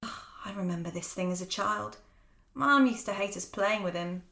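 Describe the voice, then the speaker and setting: A young woman playing a jaded, sharp-tongued woman in an audio drama, blunt and sardonic in manner. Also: mid-range voice, normal speed, vocal fry. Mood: wistful, dry. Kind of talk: naturalistic audio drama acting.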